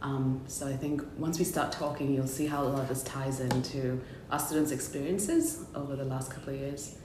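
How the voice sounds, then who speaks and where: A woman speaking conversationally in an interview as a school counsellor, recorded in a roomy space. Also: moderate pace. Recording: roomy echo with faint low rumble.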